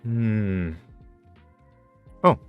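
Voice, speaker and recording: Male game host, low register, casual and halting self-talk, light music underneath. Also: smooth, lo-fi sound. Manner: halting, muttering to himself. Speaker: host of a geography guessing challenge video. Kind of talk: casual thinking aloud during game commentary.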